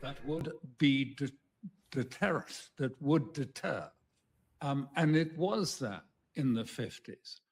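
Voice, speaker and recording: Man, interview guest, slow halting delivery, medium pitch, analytical commentary. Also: expressive, slightly rough.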